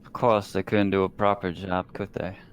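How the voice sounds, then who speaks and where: Man playing a low-voiced, sardonic female science officer. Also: flat delivery, moderate pace, natural voice. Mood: dry, unimpressed.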